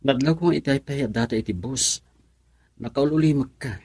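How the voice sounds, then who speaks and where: Young boyfriend in ilocano radio drama, bit raspy, dry studio sound, earnest and comforting. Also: male voice, mid-range voice, fairly quick, a little mellow, no echo. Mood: reassuring. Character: young son eloping with his sweetheart, earnest, reassuring.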